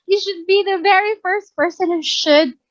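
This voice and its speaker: A woman's voice: very high-pitched, normal speed, dry recording, highs cut off. A woman trainer with a Filipino English accent, chatting casually as she teaches, mixing Tagalog and English, often checking that she's understood. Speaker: workplace communication trainer. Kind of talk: casual instructive training talk.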